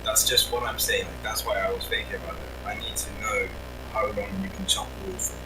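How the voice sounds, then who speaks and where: A man teases his friends with crude jokes over voice chat and laughs often. His mic sits far away in an echoey space, with loud noise behind him. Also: fairly low pitch, steady tone, fairly quick, gravelly. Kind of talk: casual voice chat banter.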